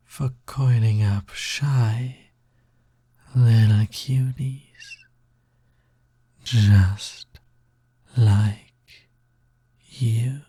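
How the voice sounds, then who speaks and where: Slow, breathy, smug snake demon played by a man. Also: mid-range voice, no echo, full character voice. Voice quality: breathy, soft, slightly gravelly. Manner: drawling, coaxing, teasing. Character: teasing, smug, touch-starved male naga snake-demon roommate. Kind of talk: slow, intimate audio roleplay, ASMR-like.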